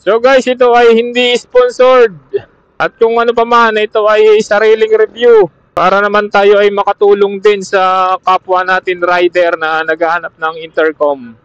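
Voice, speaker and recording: High-pitched Filipino man on a muffled helmet mic. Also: level tone, moderate pace, a bit bright, clean tone, no echo. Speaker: motovlogger reviewing gear. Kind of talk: casual vlog chat while riding. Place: on a motorcycle outdoors on a road. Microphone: helmet intercom used as external camera mic. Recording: dull muffled helmet intercom mic over Bluetooth.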